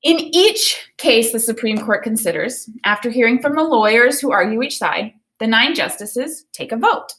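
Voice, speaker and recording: A woman teacher reading a picture book aloud and pausing to explain it, often checking for understanding. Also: on the high side, lively intonation, fairly bright tone, poor audio.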